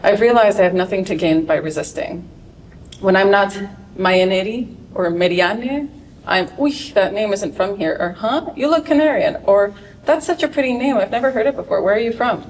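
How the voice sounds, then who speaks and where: A woman reads a first-person story aloud with dry wit, sliding into sharp, exasperated bursts. She sits at middling pitch for a woman. The mic is some distance away in a large room, with faint hiss behind. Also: animated, moderate pace. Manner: dry, wry delivery with sudden sharp outbursts. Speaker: translator reading her work. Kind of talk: expressive reading of translated fiction. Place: event stage before an audience.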